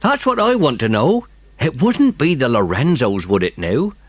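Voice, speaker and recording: A man's voice: medium pitch, very animated, normal speed, breathy edge, no room sound, voice pushed up. A man voicing a doleful, baffled junior policeman in an audiobook story. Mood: puzzled grumbling. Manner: doleful, puzzled. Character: doleful second policeman, sleepy baffled sidekick.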